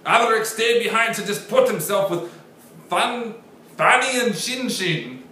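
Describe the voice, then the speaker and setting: A man putting on a loud, exaggerated mock Jamaican accent. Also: expressive, unhurried, far from the mic, a little hiss or hum, exaggerated voice. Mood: declaiming. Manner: shouted, overblown, high and loud. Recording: very echoey room.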